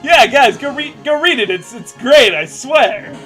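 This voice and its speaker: A man's voice: very high voice, animated, unhurried, fairly bright tone. A man reading a story aloud with mocking, jokey asides, stammering and stumbling over the text. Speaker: co-host reading fan fiction aloud. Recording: music playing behind the voice. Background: video game music.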